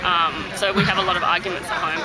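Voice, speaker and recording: A woman's voice: fairly low pitch, fairly even intonation, fast, poor audio. A woman, speaking candidly and chattily in a street interview. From Australia. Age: 20s. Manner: candid, chatty, laughs between points. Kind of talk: vox pop interview. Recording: loud crowd and music outdoors.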